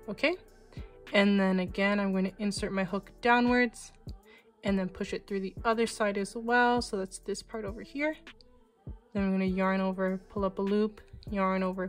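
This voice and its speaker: A woman giving patient, step-by-step crochet instructions as a tutorial host, speaking over close-up footage of her hands. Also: mid-range voice. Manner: calm, patient, reassuring instructions.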